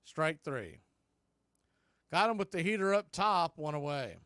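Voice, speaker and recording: A man's voice: on the high side, very animated, unhurried, sharp tone, clear, resonant tone, no room sound, studio quality. A man doing radio baseball play-by-play as the announcer, speaking in short, clipped phrases.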